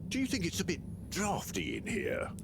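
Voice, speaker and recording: A fussy draught-fearing secretary. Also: male voice, high voice, wildly swooping pitch, moderate pace, background noise, full character voice. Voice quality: fussy, wordy. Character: fussy male secretary who fears draughts. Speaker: game character.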